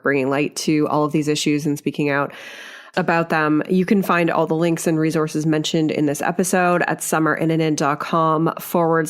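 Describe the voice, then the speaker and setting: A woman hosting a podcast, thanking her guest and closing the episode in an upbeat way. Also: low-pitched. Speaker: podcast host. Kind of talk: podcast interview and outro.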